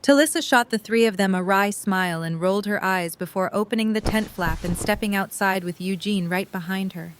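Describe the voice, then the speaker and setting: A woman's voice: medium pitch, brisk, hardly any pauses, poor audio. A woman reading scripted story narration for an audio drama.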